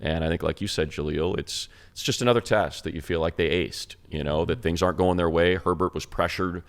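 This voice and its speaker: A man's voice: deep voice, a little fast, smooth. An American man co-hosting a sports show, talking through analysis in an emphatic, explanatory way with rhetorical questions. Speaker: sports show co-host and radio play-by-play announcer. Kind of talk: sports talk analysis, conversational.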